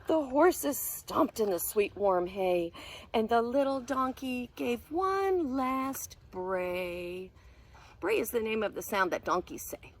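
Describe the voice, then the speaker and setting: A woman with a high, slightly husky voice reads aloud to small children. She is unhurried and expressive, her pitch swinging in a sing-song way as she explains things like a preschool teacher. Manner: expressive, sing-song, often drops to a hushed whisper; animated, asks questions and explains words to children. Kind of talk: picture book read-aloud with explanations to young children.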